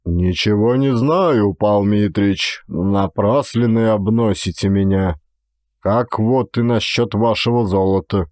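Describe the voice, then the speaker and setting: A man's voice: lowish voice, takes it slowly, a little mellow, dry recording, clean studio sound, voice pushed down. A man voicing a stolid, sly peasant Cossack daredevil who plays innocent, with serious rustic folk speech, in a dramatised audiobook. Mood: defiant, sly. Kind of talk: dramatised audiobook character acting.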